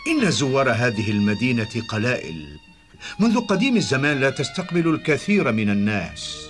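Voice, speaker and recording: A man's voice: mid-range voice, huge pitch swings, brisk, faint music behind. An actor playing a man of a desert town in a dubbed cartoon. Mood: weary.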